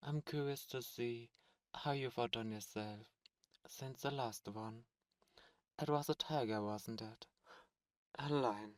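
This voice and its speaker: A man's voice: mid-range voice, slow pace, long pauses, no room sound, lightly acted. A man voicing a silky, scornful demon prince. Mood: gracious. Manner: silky, condescending, menacing. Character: suave, cold male demon prince. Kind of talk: ASMR roleplay audio drama.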